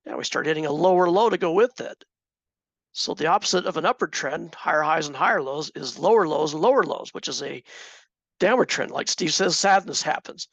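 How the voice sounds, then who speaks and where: A man teaching a live trading class in a conversational way, asking the class questions, with a slightly hoarse voice. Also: fairly high pitch, a little fast, a bit bright, no room sound. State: slightly hoarse, clearing throat. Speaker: trading instructor.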